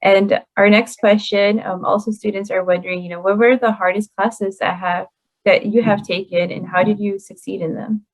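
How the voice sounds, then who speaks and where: Flat-voiced woman moderating a call. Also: mid-range voice, moderate pace. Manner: friendly, reads audience questions aloud, many "you know" fillers. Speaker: host. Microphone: video call. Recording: dull lo-fi call audio.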